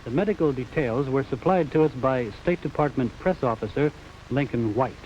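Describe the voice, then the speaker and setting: A man's voice: medium pitch, animated, normal speed, darkish tone. A man with an American accent delivering a scripted news report as a correspondent, formal and declarative. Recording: dull 1950s broadcast, faint noise.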